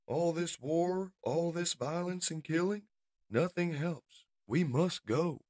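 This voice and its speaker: A man voicing a weary, gentle pacifist robot in a resigned, mournful manner for a comic-book story. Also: on the high side, slow pace, voice pushed up.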